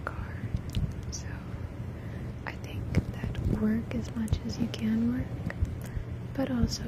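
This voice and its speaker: A young woman hosting an ASMR livestream, chatting softly and casually in a rambling, slangy way, often dropping into a breathy whisper. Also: a little high, low-quality recording. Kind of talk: soft-spoken ASMR chit-chat. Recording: loud ambient noise.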